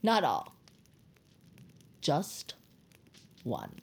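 A woman playing an eccentric, bitter, reclusive novelist with a grand, deliberate, wordy manner in an audio drama. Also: deep voice, huge pitch swings, creaky, a little mellow, slightly rough, heavily acted. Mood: grave, dramatic. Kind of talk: scripted audio drama acting.

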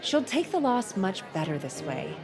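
An actress playing a two-faced president's daughter in an audio comedy, gushing with false sweetness. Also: female voice, mid-range voice, big pitch swings, moderate pace, music in the background, a crowd in the background. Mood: sweetly calculating.

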